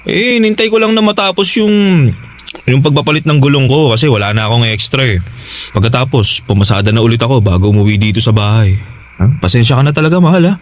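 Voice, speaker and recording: An actor playing an easygoing, evasive tricycle-driver husband in a Tagalog radio drama, casual, hesitant and placating. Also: male voice, medium pitch, expressive, fast talker, lightly acted. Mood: evasive, apologetic.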